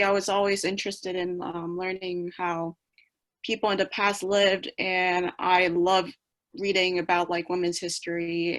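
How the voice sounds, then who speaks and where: A woman's voice: on the low side, a bit bright, poor audio. Young woman from South Korea on video call. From South Korea, moved to the US at eleven. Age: early twenties. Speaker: college student being interviewed. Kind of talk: casual interview answers. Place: small bedroom, indoors.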